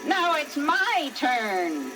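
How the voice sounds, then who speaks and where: A woman's voice: high voice, very animated, over music, heavily acted. An actress playing a scrawny, wicked old witch who gloats greedily with a cackle on a children's story record. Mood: gloating, menacing. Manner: slow, gloating, menacing delivery.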